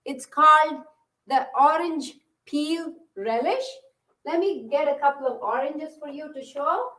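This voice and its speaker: Woman, high bright voice, friendly cooking instructor, distant mic. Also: a little slow. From Bangalore, India. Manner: friendly, explanatory, step-by-step. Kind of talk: casual cooking demonstration. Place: home kitchen.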